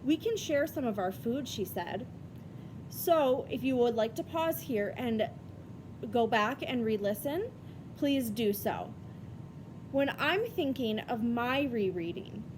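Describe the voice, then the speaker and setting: High-pitched, lively woman teacher, noisy recording. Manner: instructional, explains step by step. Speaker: elementary school reading teacher. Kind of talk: read-aloud lesson for children. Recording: rumbly background noise.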